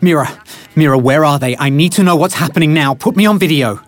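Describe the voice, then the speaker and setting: An actor playing a worried, excitable husband and scientist in an audio drama, stammering with urgency. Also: male voice, a little high, fast, close to an everyday voice. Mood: urgent, horrified.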